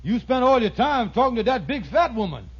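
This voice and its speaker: An actor playing a henpecked, evasive husband in an old radio sitcom, with folksy, ungrammatical, stammering speech. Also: male voice, very high voice, sing-song, a little fast, a bit bright, breathy edge, heavily acted. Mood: defensive, flustered. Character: henpecked, evasive husband, a bumbling lodge schemer. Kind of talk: 1940s radio sitcom acting. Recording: muffled, very noisy old radio recording.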